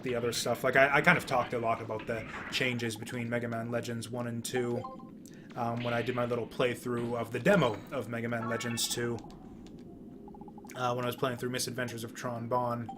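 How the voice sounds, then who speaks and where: A man giving casual, rambling video game commentary, often halting and repeating words as he goes. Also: medium pitch. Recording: clear background noise.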